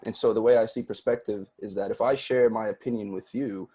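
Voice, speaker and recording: A man's voice: lowish voice, steady tone, brisk, dark tone, slightly rough. A man from Sudan whose first language is Arabic, talking earnestly as a podcast guest and often repeating words as he starts a thought. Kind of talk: casual interview talk.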